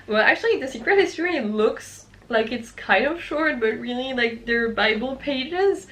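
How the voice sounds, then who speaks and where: A woman's voice: on the high side, fairly bright tone. A young woman from France with a French accent, chatting casually to camera about books she loves, restarting her sentences often. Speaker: book vlogger.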